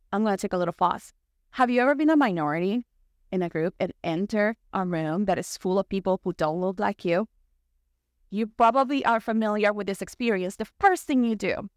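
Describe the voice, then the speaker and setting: A young Salvadoran woman, a native Spanish speaker, talks to a congregation in a chatty way, dropping in "like" and "right?" as she goes. Her voice sits at a middle pitch. It sounds close and dry, with no room echo. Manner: conversational, with frequent "like" and "right?". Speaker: church speaker. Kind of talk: personal talk to a congregation. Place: church sanctuary.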